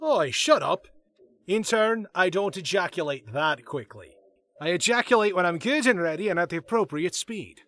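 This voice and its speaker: A man a cocky, flirtatious political staffer in a comedy drama. His delivery is lively and excitable, jumping up and down in pitch as he gets indignant. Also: moderate pace. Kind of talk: fast comedy audio drama dialogue.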